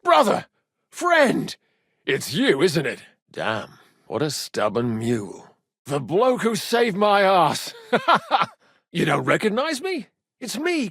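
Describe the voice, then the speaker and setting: An actor playing a cheeky, good-humored medieval rogue and loyal younger brother in a video game, with colloquial, irreverent banter. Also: male voice, sits high, creaky, a bit bright, breathy edge, no room sound, clean studio sound, exaggerated voice. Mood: excited, friendly. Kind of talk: video game dialogue acting.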